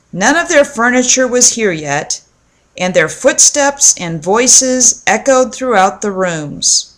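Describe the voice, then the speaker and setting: A woman narrating a children's storybook aloud in a measured, clearly phrased read-aloud delivery. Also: mid-range voice, fairly bright tone. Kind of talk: storybook narration with acted dialogue.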